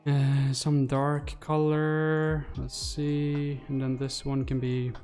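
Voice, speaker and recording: A man's voice: fairly high pitch, completely flat delivery, takes it slowly, darkish tone, smooth, soft background music. A man in his twenties muttering his thoughts aloud as he casually hosts a livestream while working, close on a desk microphone. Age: 20s. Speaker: livestream host. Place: small room indoors. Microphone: desk microphone close to the mouth.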